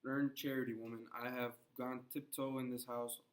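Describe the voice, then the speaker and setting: A man's voice: medium pitch, flat delivery, normal speed, natural voice, low-quality recording. A man reading the part of a proud, defensive Puritan husband in a play, stern and exasperated. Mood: bitter, exasperated. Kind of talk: classroom play read-aloud.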